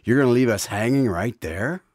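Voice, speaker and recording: A man's voice: mid-range voice, expressive, moderate pace, a little mellow, no room sound, studio quality. A man hosting and announcing a scripted radio drama, with guitar music behind him. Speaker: host and announcer.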